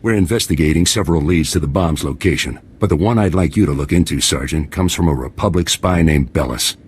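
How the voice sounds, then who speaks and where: Casting: composed commanding officer in a sci-fi military game, rumbling bass, formal and authoritative. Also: male voice, brisk, slight background noise. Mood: businesslike. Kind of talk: video game voice acting.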